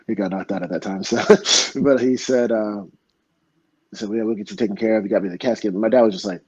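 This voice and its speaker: A man's voice: on the low side, quick pace, warm tone, breathy edge, dry recording, lo-fi sound. A young man from Florida telling earnest, heartfelt stories in a casual interview, full of fillers and restarts. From Florida, United States. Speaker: MMA fighter guest.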